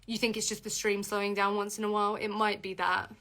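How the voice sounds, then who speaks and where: Woman, livestream host, crisp bright tone, reads comments aloud, lots of ums and restarts. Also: flat delivery, fast talker. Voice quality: deep voice for a woman. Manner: reads out comments then answers, many fillers and restarts. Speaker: livestream host answering viewer questions. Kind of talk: casual livestream Q&A.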